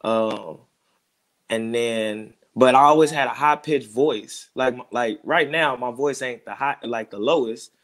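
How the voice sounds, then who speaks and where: A relaxed man in his thirties, speaking as a music producer being interviewed. He talks in a loose, rambling way, often circling back to check in with the listener. His voice sits at an ordinary pitch. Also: unhurried. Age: 30s. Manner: laid-back, rambling, keeps saying "you know what I'm saying". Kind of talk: casual interview talk.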